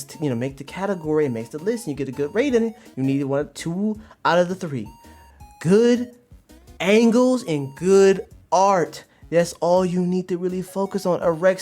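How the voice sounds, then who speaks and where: A man hosting a livestream, giving slangy, exclamatory commentary to his chat and repeating phrases in bursts. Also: a little high. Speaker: livestream host reviewing manga. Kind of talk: animated commentary to a live chat. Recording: music behind the voice. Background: music.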